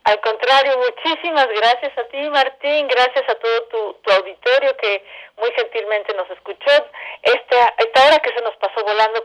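A woman with a Mexican accent and a slightly high voice. She talks in a gracious, conversational way as a theatre director being interviewed on radio. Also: fairly bright tone, rough recording. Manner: courteous, gracious.

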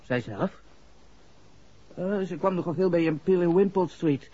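Casting: nervous young suspect in a 1960s radio serial, markedly raspy, stammering and slangy, dulled old recording. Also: male voice, sits high, moderate pace, dark tone. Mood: defensive, uneasy. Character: nervous, shifty young man under police suspicion. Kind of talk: 1960s Dutch radio drama acting. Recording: muffled vintage radio recording.

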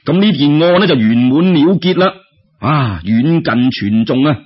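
A man's voice: fairly high pitch, lively intonation, unhurried, slightly rough, highs cut off. A man with a Cantonese accent narrating a traditional story in a colloquial way, speaking straight to his listeners. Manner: colloquial, addresses listeners directly.

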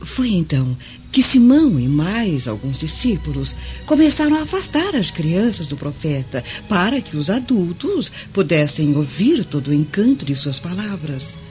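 A woman's voice: fairly low pitch, very animated, normal speed, few pauses. An actress playing a devout servant woman, telling her story tenderly and reverently in a declamatory radio drama style. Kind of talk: Brazilian radio drama acting. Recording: dull audio, soft music behind.

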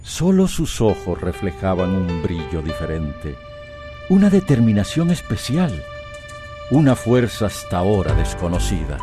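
A man's voice: low voice, very animated, measured pace, music in the background. A Costa Rican man playing the solemn storyteller of a radio soap, narrating dramatically. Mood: solemn, dramatic.

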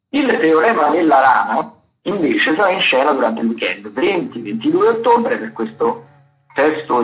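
Male theatre agenda presenter, muffled lo-fi sound, middle pitch, loose unscripted delivery that wanders and hunts for words. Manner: rambling, digressive, searching for words. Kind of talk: spontaneous radio talk, cultural listings. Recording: dull, lo-fi radio sound.

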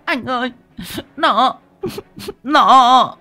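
A man's voice: extremely high pitch, lively intonation, moderate pace, sharp tone, soft background music, voice pushed up, full character voice. A man voicing a hysterical village wife in an audio story, with a panicky, stammering, wailing manner. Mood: terrified, wailing.

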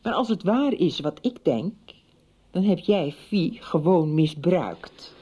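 A woman's voice: fairly low pitch, lively intonation, slow pace, dark tone, husky, lo-fi sound, heavily acted. A woman playing a suspicious, insistent wife in a radio soap, probing and reproachful. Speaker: actor in a radio serial. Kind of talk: 1990s Dutch radio soap acting.

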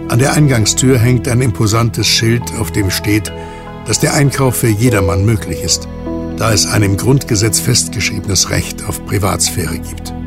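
A man's voice: lowish voice, vocal fry. A man reading scripted narration as the narrator of an audio drama. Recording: loud music bed behind narration.

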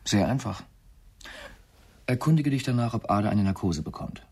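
An actor playing a cool, frank male friend in a radio drama, with a gravelly, husky voice and a measured manner. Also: on the low side, animated, a little mellow. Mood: probing, frank. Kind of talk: German radio drama acting.

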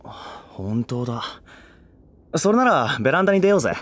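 A man's voice: medium pitch, big pitch swings, moderate pace, a bit bright. A male actor playing a down-to-earth young man in a voice drama, speaking casually and bluntly but with care. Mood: relaxed, friendly. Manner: casual, rough, blunt speech. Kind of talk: Japanese voice drama acting.

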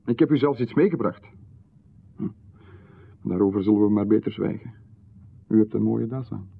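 A cloyingly helpful stranger with a menacing edge, played by a man, talking in a low, gravelly voice. He is insistent and ingratiating, stumbling over his words as he presses his point. The sound is narrow and phone-like, like an old radio broadcast. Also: moderate pace. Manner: insistent, ingratiating, chuckling, with stammered restarts. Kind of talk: 1990s radio drama acting.

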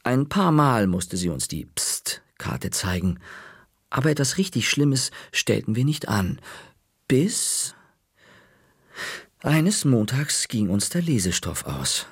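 A man reading a children's book aloud in the first person, with lively storytelling. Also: medium pitch, slow pace, breathy edge, dry recording, studio quality. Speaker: audiobook narrator.

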